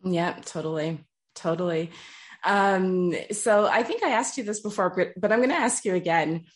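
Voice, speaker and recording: A woman hosting a casual interview, lively and quick to interject with questions. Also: lowish voice. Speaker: podcast host.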